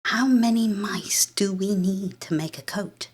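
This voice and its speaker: A woman's voice: mid-range voice, a little slow, husky, pitched above the performer's own voice. A woman voicing a timid, squeamish younger sister, a little girl whispering anxiously in a short story. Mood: whispering, curious.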